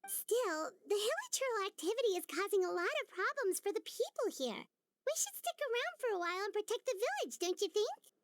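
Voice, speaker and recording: A woman voicing a tiny, earnest, excitable childlike fairy companion in a video game, chirpy and inquisitive. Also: very high-pitched, fairly quick, dry recording. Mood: concerned, inquisitive. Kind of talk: video game character dialogue. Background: background music.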